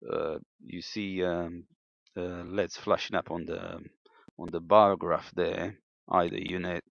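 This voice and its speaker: A man giving an unscripted hands-on equipment demonstration as a hobbyist, thinking aloud in a hesitant, halting way. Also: low voice, flat delivery, vocal fry, a bit bright. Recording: dull, lo-fi, echoey room sound.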